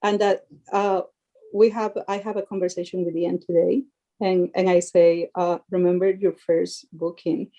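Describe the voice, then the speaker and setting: A woman's voice: on the low side, level tone, moderate pace, warm tone, lo-fi sound. A woman from Rica, speaking earnestly as a parent of young actors on a panel, often repeating words as she searches for them. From Costa Rica. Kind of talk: panel discussion.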